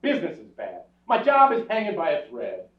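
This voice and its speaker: A man's voice: fairly high pitch, huge pitch swings, brisk, distant mic. An actor playing a henpecked, wisecracking husband in his forties, sardonic and exasperated, in old-time radio comedy style. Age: middle-aged. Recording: dull, echoey old radio recording, off-mic.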